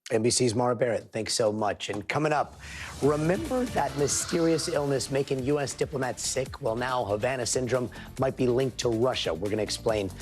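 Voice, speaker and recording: A hoarse man anchoring a news show, reading stories with playful puns in between. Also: mid-range voice, brisk, over music. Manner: punny, playful asides. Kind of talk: news presenting.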